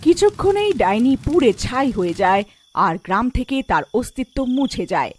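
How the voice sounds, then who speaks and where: A woman storyteller narrating a scripted cartoon fairy tale. Her voice sits on the high side and moves with great liveliness, over some background noise. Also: big pitch swings, fairly quick.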